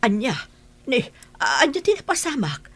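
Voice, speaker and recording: Audio drama mother, played by a woman: middle-aged, husky, lively intonation, concerned, faint music behind. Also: sits high, normal speed, exaggerated voice. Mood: worried, relieved.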